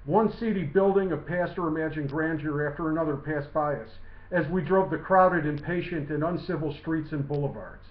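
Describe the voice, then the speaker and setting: Man, high voice, measured declamatory recitation, writer reading a literary essay, muffled echoey recording. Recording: muffled lo-fi, roomy echo.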